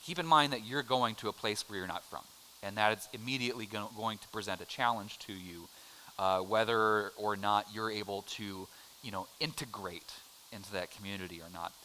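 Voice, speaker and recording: A man's voice: lowish voice, moderate pace, sharp tone, slight background noise, rough recording. Joking man teaching a church class. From United States. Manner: chatty, joking, quizzes the class. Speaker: adult education teacher. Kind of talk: casual Bible class teaching.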